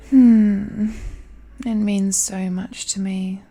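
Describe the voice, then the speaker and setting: A woman performs a smug, seductive immortal villainess holding someone captive in a romantic audio drama. She speaks slowly and languidly in a soft-edged, mellow tone, coaxing and condescending, with faint music behind her. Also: mid-range voice. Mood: patient, possessive. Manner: languid, coaxing, condescending, punctuated with hums and sighs. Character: possessive, seductive ancient supernatural villainess, smugly patient captor. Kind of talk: romantic villain audio roleplay, intimate monologue.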